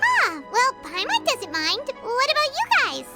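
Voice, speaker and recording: A tiny, excitable female sidekick from a video game. Her voice sits extremely high and leaps wildly up and down. She speaks at an unhurried pace over game music. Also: heavily acted. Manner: refers to herself in third person, excitable. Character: tiny chirpy female floating companion.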